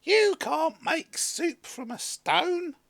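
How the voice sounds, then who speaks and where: Storybook village woman, played by a man: very high voice, poor, guarded, incredulous exclamations. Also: animated, slow pace, gravelly, fairly bright tone, full character voice. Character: poor, wary village woman, doubtful and startled.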